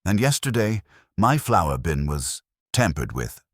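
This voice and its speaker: A man voicing an anxious, determined woman who owns a bakery, halting and earnest in a detective story. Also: sits low, big pitch swings, a bit bright, no room sound, studio quality, full character voice. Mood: anxious, insistent.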